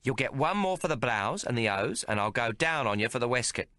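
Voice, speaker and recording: A man's voice: medium pitch, expressive, fairly quick, sharp tone, dry recording, voice pushed up. A man voicing a bossy, coarse young woman who haggles fiercely in an audiobook. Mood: blunt. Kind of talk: audiobook character voice.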